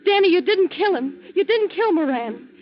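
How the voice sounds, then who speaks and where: An actress playing an earnest, loyal young sweetheart, urgent and insistent, in a 1940s radio drama. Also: female voice, very high voice, animated, normal speed. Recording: dull 1940s radio recording.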